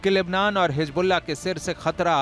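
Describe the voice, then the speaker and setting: A man's voice: high voice, steady tone, normal speed, over music. A man reading a scripted news report voice-over in an emphatic, dramatic way.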